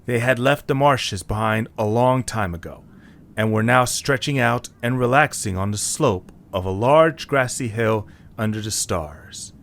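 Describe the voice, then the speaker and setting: A man's voice: mid-range voice, unhurried, creaky, fairly bright tone. A man reading scripted story narration as the narrator of an audio drama.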